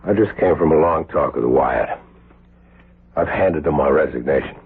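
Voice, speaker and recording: A man's voice: a bass voice, moderate pace, husky, exaggerated voice. An actor playing an intense, earnest male surgeon with emphatic delivery in an American 1970s radio drama. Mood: grim, urgent. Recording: muffled, lo-fi old radio broadcast.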